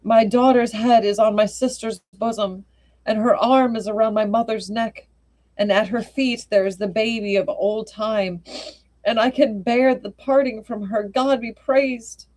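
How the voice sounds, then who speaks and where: Woman voicing a grieving aging man, reverent, tender, slow-spoken, storybook reading. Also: a little high, steady tone, darkish tone, pitched above the performer's own voice, lightly acted.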